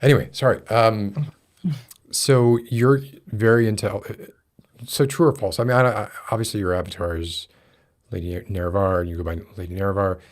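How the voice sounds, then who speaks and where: A man hosting a casual livestream interview, chatting with halting, hesitant phrasing. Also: on the low side, fairly even intonation. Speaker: livestream podcast host.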